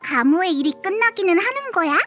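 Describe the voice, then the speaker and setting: A woman's voice: very animated, a little fast. A woman voicing a tiny, perky, childlike fairy companion in a video game, blunt and exclamatory. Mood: doubtful. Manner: squeaky, exclamatory, casual. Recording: music clearly behind the voice.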